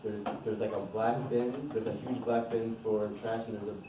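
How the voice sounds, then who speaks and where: Lower-voiced man in loud noisy hall. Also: level tone. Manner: casual, halting, many fillers. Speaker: panelist. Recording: noisy echoey hall from far off.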